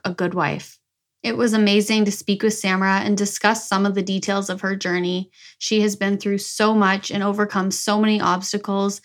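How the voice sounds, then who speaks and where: A woman hosting a podcast interview, reading a scripted introduction and then chatting upbeat with her guest. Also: mid-range voice. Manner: upbeat, warm thanking, reads a content warning. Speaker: podcast host.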